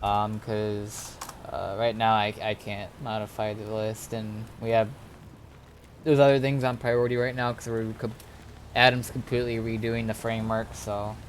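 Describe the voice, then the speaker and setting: A man streaming an online roleplay game, chatting casually and haltingly as he thinks aloud. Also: lowish voice, level tone, a little hiss or hum. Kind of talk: casual gaming chat.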